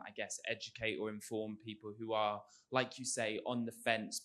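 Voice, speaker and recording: A man in the audience asking a long, rambling question, who says he has a loud voice. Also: mid-range voice. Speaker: audience member.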